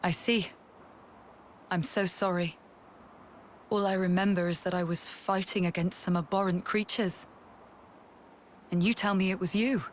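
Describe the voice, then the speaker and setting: A woman playing a calm, grave, formally spoken character in a video game cutscene, with a subdued manner. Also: lowish voice, a little slow, pauses often, a little hiss or hum, natural voice.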